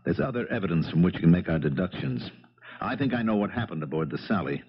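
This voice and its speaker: An actor playing a shrewd, dogged police lieutenant in a 1970s radio mystery, probing and sardonic in a measured way. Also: male voice, sits low, expressive, husky. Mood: firm, confident. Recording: dull, lo-fi 1970s radio broadcast.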